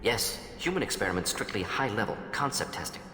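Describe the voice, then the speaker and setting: An actor voicing a clinical, analytical alien scientist in a video game, speaking in clipped, telegraphic phrases. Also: male voice, on the low side, expressive, fairly quick, bright, forceful tone, a little hiss or hum. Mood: matter-of-fact. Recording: echoey hall, music behind.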